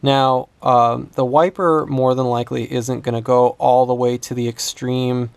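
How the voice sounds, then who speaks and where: A man giving an unscripted hands-on demonstration as a repair instructor, thinking aloud in a halting way. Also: medium pitch, level tone, vocal fry, clear, resonant tone, no room sound. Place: indoors at a workbench.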